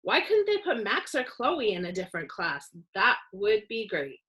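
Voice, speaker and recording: Whiny second-grade boy, read by a woman, stubborn and petulant, with animated swings in pitch for a children's storybook. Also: normal speed. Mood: sulky. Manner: petulant, complaining.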